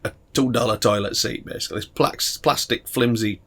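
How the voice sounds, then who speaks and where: A middle-aged man, co-hosting a casual podcast and telling crude stories that get loud and laughing as he warms up. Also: medium pitch, a little fast, vocal fry. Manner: crude, laughing storyteller, gets loud when worked up. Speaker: podcast co-host. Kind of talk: casual banter.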